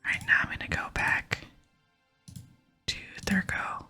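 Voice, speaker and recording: Woman, whispered ASMR gaming narration, crisp bright sibilance, close mic, soft unhurried delivery, music behind. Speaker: gaming video host. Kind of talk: whispered ASMR game playthrough with reading aloud. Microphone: close microphone.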